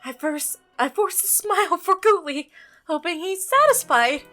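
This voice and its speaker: A woman's voice: extremely high pitch, very animated, unhurried, sharp tone, faint music behind, voice pushed up, full character voice. A woman reading the scripted first-person narration of an uneasy visual novel protagonist.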